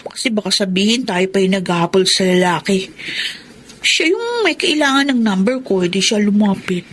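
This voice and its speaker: A woman's voice: mid-range voice, sing-song, normal speed, a bit bright, a little hiss or hum. A woman playing a simple young woman in love, coy and proud, in a Filipino radio drama. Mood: coy, flustered. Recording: radio drama with music underneath.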